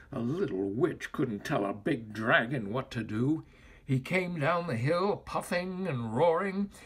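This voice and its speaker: An older man reading a children's story aloud as a storyteller, with theatrical, expressive and deliberate phrasing. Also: medium pitch, husky.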